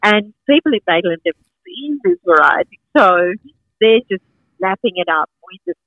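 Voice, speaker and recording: A woman's voice: medium pitch, big pitch swings, brisk, no room sound, phone-quality sound. A middle-aged woman from Sri Lanka with a Sri Lankan accent, chatting enthusiastically as an interview guest, rambling with many fillers. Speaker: guest, market founder and farmer. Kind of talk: casual interview chat.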